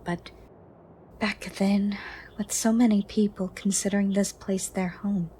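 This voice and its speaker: A woman playing a gentle, ancient female monster under the bed in a soft, breathy, partly whispered ASMR roleplay, formal and caring. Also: mid-range voice, slight background noise, close to an everyday voice. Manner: slow, formal, caring, slightly stern.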